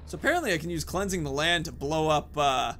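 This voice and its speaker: A man's voice: fairly high pitch, fast talker, bright, forceful tone, a little hiss or hum. A man giving casual let's play commentary, musing aloud with joking asides as he goes.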